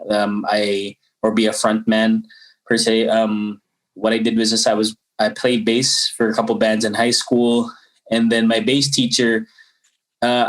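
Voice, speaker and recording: A man's voice: mid-range voice, recorded from a distance, poor audio. A man in his twenties from the Philippines, a rapper talking as an interview guest, rambling with many fillers and restarts. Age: 20s. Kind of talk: casual interview.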